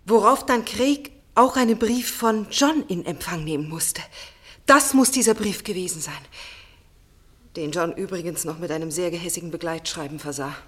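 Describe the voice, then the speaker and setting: An actress playing a shaken, earnest daughter in a German radio play, with deliberate dramatic phrasing. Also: female voice, on the low side, slightly rough. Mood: agitated, earnest. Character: Maura, a shaken, earnest daughter recounting grim events.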